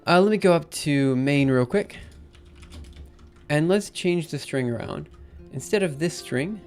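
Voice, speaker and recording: A man with a slightly high, slightly raspy voice talks his way through his code on a livestream, chatty and upbeat as he goes. Keyboard clicks and faint music play underneath. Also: animated, unhurried, a little mellow. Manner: thinks aloud while typing, chatty and upbeat. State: slightly raspy after a drink. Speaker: programming livestreamer. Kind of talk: live coding stream, casual talk-through.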